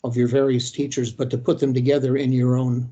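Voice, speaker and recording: Elderly man lecturing, halting. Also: medium pitch, level tone, dark tone, dull audio. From Detroit, United States. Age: 80s. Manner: measured, halting, with frequent "uh" pauses. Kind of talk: reflective academic lecture.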